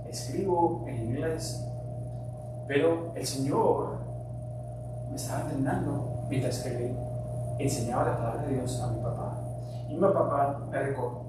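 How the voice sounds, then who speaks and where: A middle-aged man born in Colombia whose first language is English, preaching a sermon earnestly, sometimes forceful and sometimes quiet. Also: fairly high pitch. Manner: earnest preaching with shifts between emphatic and quiet. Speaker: pastor. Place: church sanctuary. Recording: very echoey, distant mic, hum.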